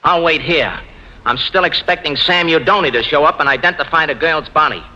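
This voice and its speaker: An actor playing a brisk, crafty, commanding male detective in clipped 1950s radio drama style. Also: fairly high pitch, bright, forceful tone. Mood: brisk triumph. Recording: dull, noisy old radio recording.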